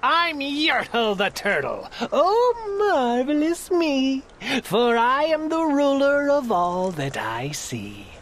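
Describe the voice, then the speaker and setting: A woman's voice: sing-song, slow, slight background noise. A woman voicing a boastful, imperious male turtle king in a rhyming storybook. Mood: gleeful, boastful. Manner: imperious, booming exclamations.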